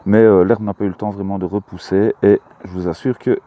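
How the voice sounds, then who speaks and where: A man's voice: on the low side, fairly even intonation, a little fast, highs cut off. A man with a Belgian French accent, chatting casually outdoors in a field with many hesitant fillers. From Belgium, Luxembourg province. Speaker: metal-detecting vlogger. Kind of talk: casual outdoor talk to camera. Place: outdoors in a mown meadow.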